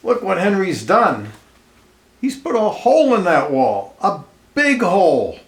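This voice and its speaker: Slow, animated man reading a picture book, roomy sound. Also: high-pitched. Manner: expressive, pausing to point out pictures and ask questions. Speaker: storybook reader. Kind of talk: read-aloud of a children's picture book with asides to listeners. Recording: roomy, echoey indoor sound.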